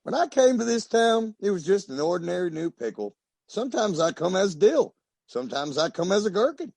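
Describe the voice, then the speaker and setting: A man's voice: sits high, sing-song, brisk, vocal fry, darkish tone, slightly rough, no room sound, full character voice. An actor playing a lonesome, boastful, self-pitying pickle tycoon in a 1940s-style radio comedy, rambling as he talks. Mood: lonesome boasting.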